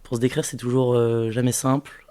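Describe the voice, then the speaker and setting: Flat-voiced young man, hesitant. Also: mid-range voice, clear, resonant tone, no room sound. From French Guiana. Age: 20s. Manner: hesitant, thinking aloud with many pauses. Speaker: podcast guest. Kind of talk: casual interview testimony.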